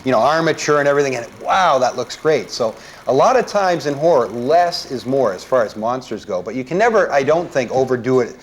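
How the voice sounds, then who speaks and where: A man's voice: mid-range voice, expressive, fairly quick, hardly any pauses. A man talking as a guest panelist in a conversational film discussion, explaining his points.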